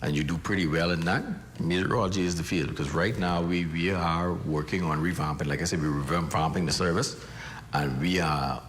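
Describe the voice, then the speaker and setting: A man, a meteorologist speaking in a news interview in an earnest, halting way. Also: deep voice, steady tone, a little hiss or hum.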